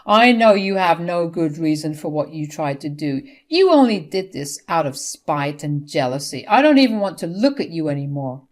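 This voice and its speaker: A woman voicing a hurt, angry grown son who speaks firmly and accusingly in a family drama story. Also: lowish voice. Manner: firm, accusing, exasperated. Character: hurt, angry adult son rejecting his mother.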